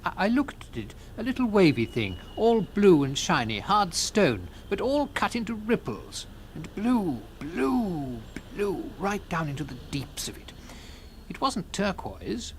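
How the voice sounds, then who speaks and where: A genial gentleman narrator in an audio drama, with a slightly high voice that moves in lively swings. He is sociable and inquisitive, telling a literary tale expressively over faint background noise. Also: measured pace, heavily acted. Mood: descriptive, wondering. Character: sociable, curious gentleman narrator recounting an encounter.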